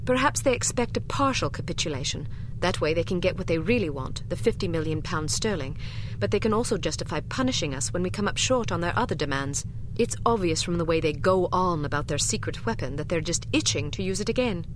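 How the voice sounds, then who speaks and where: An actress playing a shrewd, skeptical secret agent in a video game, cool and sardonic in delivery. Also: female voice, fairly low pitch, lively intonation, fairly quick, a little hiss or hum, lightly acted. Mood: analytical. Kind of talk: video game cutscene acting.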